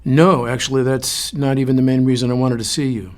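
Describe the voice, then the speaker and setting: An actor playing a firm but supportive agency director in an audio drama, measured and authoritative. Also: male voice, mid-range voice, fairly even intonation, close to an everyday voice. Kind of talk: audio fiction drama acting.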